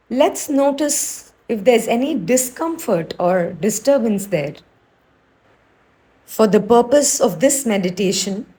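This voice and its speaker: Slow, high-voiced woman guiding meditation. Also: low-quality recording. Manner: soothing, unhurried, gently instructing. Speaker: meditation teacher. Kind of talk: guided meditation narration.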